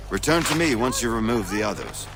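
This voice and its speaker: An actor playing an affable, wry colonial rebel mentor who explains things in a video game cutscene. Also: male voice, fairly low pitch, very animated, brisk, background noise. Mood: instructive. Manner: wry, instructive.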